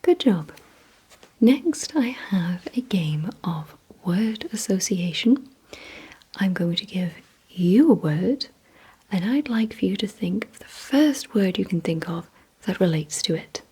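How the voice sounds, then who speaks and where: A woman leads an ASMR roleplay in an extremely breathy whisper, right up at the microphone. Her tone is dark and mellow. She gives instructions very slowly and deliberately, repeating words and leaving pauses between them. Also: medium pitch, expressive. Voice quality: breathy whisper, mostly unvoiced. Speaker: ASMR creator leading a word-guessing game. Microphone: close ASMR microphone.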